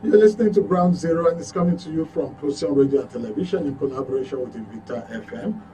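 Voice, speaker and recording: A man's voice: on the high side, fast talker, warm tone, slight background noise, rough recording. A Nigerian man hosting a live radio phone-in show in a presenter's style. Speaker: radio call-in show host. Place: radio studio.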